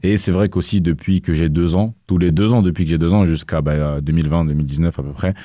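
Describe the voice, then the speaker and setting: Young male guest, very low flat voice, dark tone, halting delivery with lots of fillers. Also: no room sound, studio quality. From France. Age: young adult. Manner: hesitant, many fillers and restarts. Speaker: guest, activist. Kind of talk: casual interview conversation.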